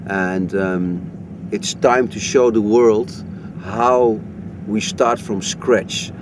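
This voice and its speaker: A man's voice: on the low side, fairly even intonation, drawn-out words, noisy background. A man from Africa, an expedition leader, talking in a documentary about his travels. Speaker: expedition leader and strain hunter. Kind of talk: documentary narration and on-location talk. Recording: music bed under the voice.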